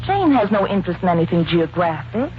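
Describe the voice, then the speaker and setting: Gutsy young american wife in an old 40s radio mystery, earnest, muffled noisy sound. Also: female voice, fairly low pitch, sing-song, quick pace. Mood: earnest, reporting. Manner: earnest, resolute. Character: plucky, enterprising young wife, amateur sleuth. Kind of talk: 1940s radio drama acting.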